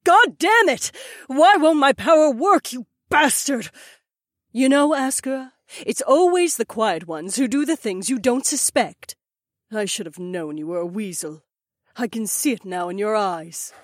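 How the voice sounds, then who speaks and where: A man voicing a scheming, haughty noblewoman in a high falsetto. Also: animated, moderate pace, no room sound, studio quality. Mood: furious. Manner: cooing then screaming in high falsetto. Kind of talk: audiobook character voice.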